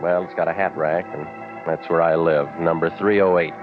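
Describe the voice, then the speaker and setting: An actor in 1940s radio drama playing a cynical, wisecracking private eye, terse and deadpan in narration and dialogue. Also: male voice, deep voice, normal speed, close to an everyday voice. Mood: deadpan, jaded. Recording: muffled vintage 1940s radio with music.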